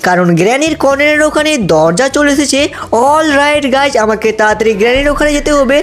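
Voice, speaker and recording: A gameplay narrator telling a cartoon story in an excited, exclamatory way, constantly addressing viewers. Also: high voice, brisk. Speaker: gaming video narrator playing the lead character.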